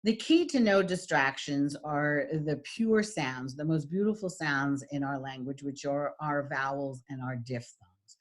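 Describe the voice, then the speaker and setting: A middle-aged woman with a husky voice, giving a recorded lecture as a voice and speech teacher, with frequent fillers. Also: sits low, unhurried, few pauses. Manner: demonstrates voice types, lots of fillers.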